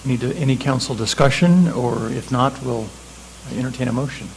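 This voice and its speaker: A mid-pitched man runs a council meeting in a council chamber, calling motions and votes in a brisk, procedural way. A faint hum sits in the background. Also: rough recording. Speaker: council chair. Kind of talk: chairing a formal meeting.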